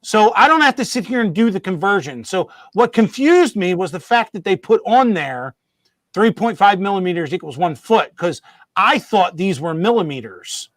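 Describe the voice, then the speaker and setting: Man, high-pitched voice, casual rambling host, self-correcting, joking, dry close sound. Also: sing-song, normal speed, fairly bright tone, no echo. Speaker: host of a model railroad show. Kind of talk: casual live-stream chat.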